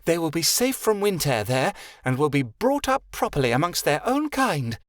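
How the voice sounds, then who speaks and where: A man doing a fussy little fairy woman in a storybook reading, her voice pitched far above his own and bouncing up and down. She is sharp and excitable and talks about herself by name. The sound is close and dry. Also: moderate pace, no echo. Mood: stern.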